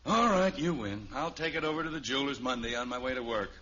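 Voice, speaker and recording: Animated, exasperated sitcom dad. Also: male voice, mid-range voice, quick pace. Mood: proud, stubborn. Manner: indignant, mock-pompous, wordy. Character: Jim, exasperated, proud middle-class family father. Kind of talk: 1950s radio sitcom acting. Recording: muffled old radio recording, faint hiss.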